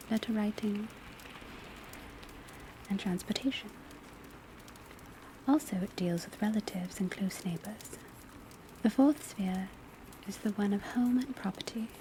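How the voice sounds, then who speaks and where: Woman playing a witch academy professor, hushed ASMR whisper, mellow tone, measured instructive delivery, faint ambient noise behind. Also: medium pitch, lightly acted. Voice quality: soft, breathy whisper. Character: soft-spoken female professor of divination at witch school. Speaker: teacher. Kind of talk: ASMR classroom roleplay, soft whispered lecture. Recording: close ASMR recording with background ambience noise. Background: crackling fireplace, pencil writing, rain on rooftop.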